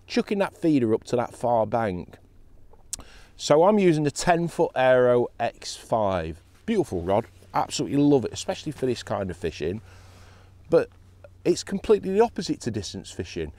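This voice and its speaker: Man, 40s, outdoor fishing tutor; lively, swinging delivery, mellow tone, dry close sound. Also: medium pitch, dry recording. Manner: animated, emphatic explainer. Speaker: professional angler. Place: outdoors by a lake.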